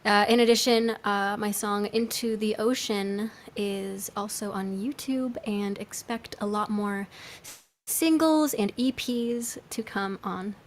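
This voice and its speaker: A woman's voice: medium pitch, slow pace, slight background noise. A woman musician chatting casually on a livestream, answering in an informal, conversational way.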